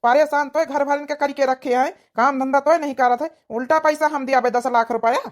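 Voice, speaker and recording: Lady doing a fed-up awadhi working husband in a cartoon, high voice, keeps grumbling and berating. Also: fairly even intonation, moderate pace, natural voice. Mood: angry. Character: tired, exasperated working village husband. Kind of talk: cartoon village comedy acting.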